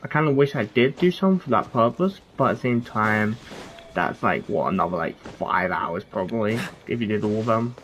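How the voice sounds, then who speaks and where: A man's voice: on the low side, moderate pace, fairly bright tone, slight background noise. A man giving casual gameplay commentary as a streamer, rambling and often trailing off mid-thought. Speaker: game streamer. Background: game music.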